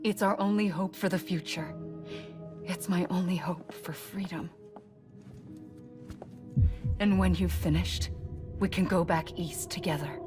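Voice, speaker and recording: An actress plays an earnest, wistful captive woman in a game cutscene. Her voice sits fairly low and moves in a measured, intimate, solemn way. Music plays clearly behind her. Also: fairly even intonation, close to an everyday voice. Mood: hopeful, pleading. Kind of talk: video game cutscene acting.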